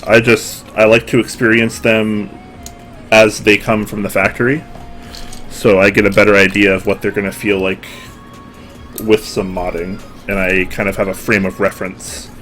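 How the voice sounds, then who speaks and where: A man's voice: on the low side, moderate pace. A young man casually chatting to his stream viewers at his home desk while he builds a keyboard, rambling and poking fun at himself. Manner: relaxed, rambling, self-deprecating, answers chat. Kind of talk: casual live-stream chat. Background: music playing.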